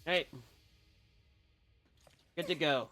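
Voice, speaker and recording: A man's voice: fairly high pitch, drawn-out words, pushed and bright, faint music behind. A man giving casual gameplay commentary as a streamer. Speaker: game streamer.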